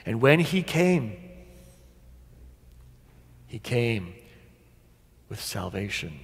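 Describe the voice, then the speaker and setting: Pastor preaching in a very reverberant church. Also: male voice, mid-range voice, big pitch swings, breathy edge. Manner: deliberate, dramatic pauses, rhetorical questions and emphatic repetition. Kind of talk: sermon. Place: large church sanctuary, at the pulpit.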